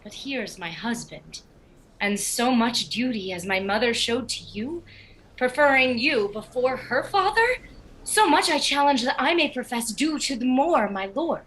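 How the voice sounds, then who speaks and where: A woman's voice: on the high side, animated, a little slow, a bit bright, a little hiss or hum, rough recording. A woman playing a dutiful yet resolute young noble bride in a Shakespearean radio play, earnest and formal, on a home video-call recording. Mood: respectful, resolute.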